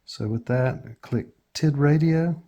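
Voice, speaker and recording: An American man walks through instructions as a tutorial host. His voice is soft-edged and husky, with a dark, relaxed tone. He speaks casually and matter-of-factly, pausing as he goes from step to step. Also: mid-range voice, muffled recording.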